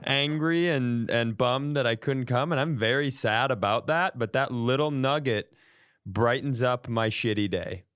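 A man's voice: medium pitch, unhurried, a bit bright, smooth, no room sound. An American man co-hosting a comedy podcast with casual, profane banter and a mock-indignant manner.

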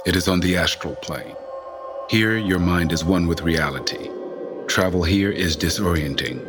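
A very low, solemn voice of a mysterious astral guide played by a male actor, measured and commanding. The delivery is calm and cryptic, in the manner of a science-fiction audio drama, with music underneath. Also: natural voice. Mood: solemn, instructing. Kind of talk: scripted audio drama acting.

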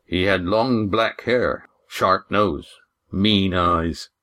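A man's voice: low-pitched, very slow, pushed and bright, exaggerated voice. A man voicing a fierce, boastful wounded sailor with rustic seafaring speech in an audiobook story.

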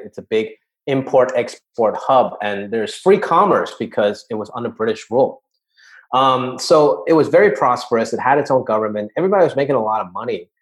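Male comedian from Hong Kong, casual podcast storyteller, easygoing delivery at a mid pitch. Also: low-quality recording. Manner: relaxed, conversational, drops his voice for asides. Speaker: guest comedian.

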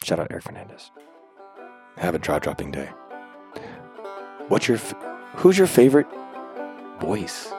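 A man hosting a podcast, upbeat and slangy, with a slightly raspy voice. He chats casually and closes the show with shout-outs. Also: sits low, wildly swooping pitch, a little slow, long pauses, faint music behind. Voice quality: a little raspy. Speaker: podcast host. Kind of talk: casual podcast chat and outro.

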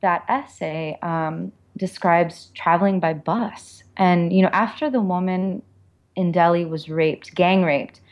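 A woman's voice: lowish voice, takes it slowly, muffled recording. A woman author being interviewed, speaking candidly and informally in a reflective way, with lots of "like" and "you know".

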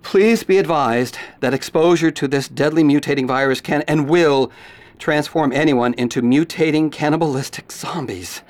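A man's voice: a little high, normal speed, close to an everyday voice. An actor playing a frightened, earnest radio newsman, stammering urgently through a live report in a radio drama. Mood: urgent, solemn.

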